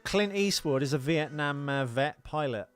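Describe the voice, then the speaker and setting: A man's voice: a little high, lively intonation, moderate pace. A man co-hosting a film podcast in a casual, joking, bantering way. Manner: jokey, bantering. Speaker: podcast co-host. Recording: loud film score playing underneath. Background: film soundtrack music.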